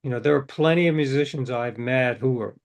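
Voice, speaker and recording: A man's voice: medium pitch, fairly quick, creaky. A middle-aged man, a writer being interviewed, telling stories in a reflective, anecdotal way. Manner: reflective, anecdotal, hesitant restarts. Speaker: guest author. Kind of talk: interview storytelling.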